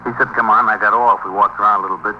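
An actor playing a wisecracking, evasive car thief in a 1950s radio police drama, streetwise and slangy. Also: male voice, on the low side, fairly even intonation, rapid-fire, close to an everyday voice. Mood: glib, explaining. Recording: muffled, noisy 1950s radio recording.

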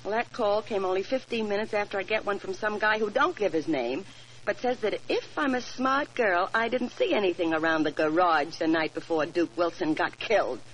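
A woman's voice: medium pitch, normal speed, close to an everyday voice. A brassy, streetwise young woman played by an actress with a slangy, wisecracking delivery. She is heard in 1940s radio drama style over a faintly noisy old recording. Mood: wary. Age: young adult.

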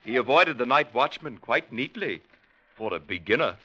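Creepy polite not-quite-human gent, old radio drama, bright animated voice, muffled recording. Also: male voice, mid-range voice, moderate pace. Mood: deferential. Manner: courteous, formal, unctuous. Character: pale inhuman creature dressed as a man, genteel and sly. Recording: dull vintage radio recording.

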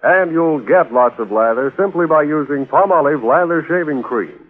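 Man, fairly high voice, 1940s announcer, emphatic scripted delivery, thin radio recording. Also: animated, normal speed, narrow, tinny audio. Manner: polished, emphatic salesmanship. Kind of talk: 1940s radio advertising read.

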